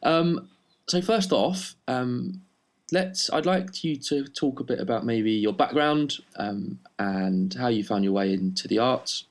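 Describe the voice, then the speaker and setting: A man hosting an online interview, asking friendly, halting questions full of ums and restarts. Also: medium pitch, expressive. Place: remote online recording.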